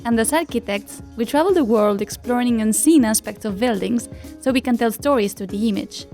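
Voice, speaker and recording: A woman's voice: on the high side, a little fast, mellow. A woman presenter reading scripted documentary narration. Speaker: presenter, architect and photographer. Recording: music behind the voice. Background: background music.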